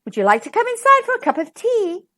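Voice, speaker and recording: An excitable storybook mother duck, put on by a woman. She speaks dramatically in a high voice that rises and falls sharply. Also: fast talker, full character voice. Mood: welcoming. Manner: dramatic, exclaiming.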